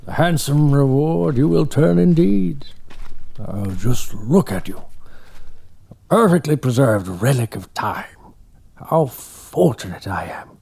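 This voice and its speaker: An actor playing an aging, obsessive scholar who speaks in a formal, theatrical way. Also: male voice, medium pitch, sing-song, takes it slowly, creaky, warm tone, slightly rough, full character voice. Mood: gloating. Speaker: doctor employer. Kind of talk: audio drama acting.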